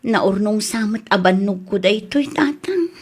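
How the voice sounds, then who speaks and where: A woman's voice: medium pitch, animated, unhurried, slightly rough. An actress playing a hard-working, slightly peevish young woman with an Ilocano accent in a radio comedy drama. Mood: weary. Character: hard-working young daughter, touchy about her things.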